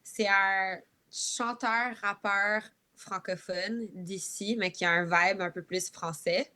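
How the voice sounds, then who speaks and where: Bright-voiced woman with Quebec French accent. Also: mid-range voice, steady tone, slow pace. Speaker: guest singer being interviewed. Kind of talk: casual interview chat.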